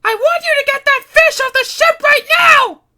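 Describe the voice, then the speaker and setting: A woman's voice: moderate pace, sharp tone. A woman voicing a frantic young woman with claws who shrieks warnings. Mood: screaming. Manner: shrill, screaming warnings.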